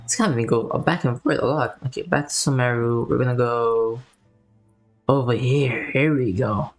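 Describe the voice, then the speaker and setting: A man's voice: medium pitch, moderate pace, faint music behind. A man giving casual, slangy gameplay commentary as a streamer. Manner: slangy, informal. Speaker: game streamer.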